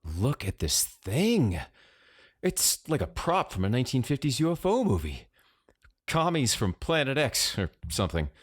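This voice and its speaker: A man's voice: mid-range voice, big pitch swings, unhurried, slightly rough, pitched above the performer's own voice. A man voicing a mischievous, amused young American prankster with a casual, sweary, teasing manner in an audiobook story. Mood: curious.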